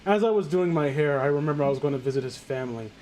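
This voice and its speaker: A man reading fan fiction aloud casually, breaking off with sarcastic, halting, sweary commentary. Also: a little high, animated, a little mellow, a little hiss or hum.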